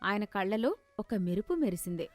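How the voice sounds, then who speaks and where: A woman's voice: medium pitch, lively intonation, normal speed, a little mellow, soft background music. A woman narrating a scripted moral story in a storytelling style.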